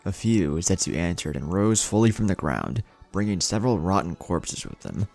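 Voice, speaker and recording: Man, deep creaky voice, lively swings in pitch, scripted story narration, background music. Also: normal speed. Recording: music behind the voice.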